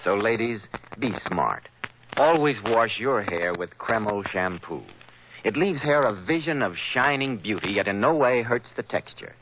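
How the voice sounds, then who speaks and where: An American man announcing in polished 1940s radio style, with deliberate emphasis, on an old broadcast recording. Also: fairly low pitch, lively intonation, fairly bright tone, a little hiss or hum, low-quality recording.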